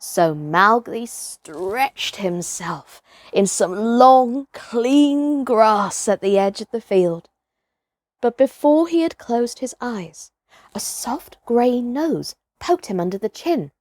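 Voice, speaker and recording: A woman reads a children's story in a fairly high voice. Her narration is expressive and deliberate, taken very slowly, with no room sound around it. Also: dry recording, studio quality.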